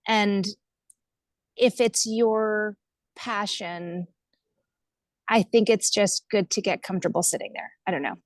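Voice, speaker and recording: A woman's voice: mid-range voice, takes it slowly, long pauses, no echo. An American woman speaking informally as a webinar guest, thoughtful, hesitant and self-effacing as she reflects. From United States. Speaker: guest speaker, nonprofit leader. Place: online video call.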